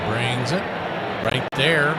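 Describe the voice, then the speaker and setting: A man's voice: medium pitch, takes it slowly. A man doing live baseball play-by-play as the host announcer. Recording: very noisy outdoor-sounding ambience behind.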